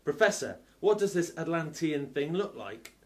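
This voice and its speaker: Man acting an earnest inspector, fairly high voice. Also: very animated, moderate pace, a little mellow. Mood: dutiful concern. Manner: reassuring, dutiful. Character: earnest, gallant male police inspector. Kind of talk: steampunk radio play acting.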